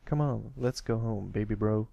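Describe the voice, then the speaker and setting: A man's voice: on the low side, moderate pace, creaky, warm tone, no echo, dull audio. A man voicing a protective older brother stallion, a royal guard who is firm and caring, in a storybook reading. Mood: gentle, encouraging.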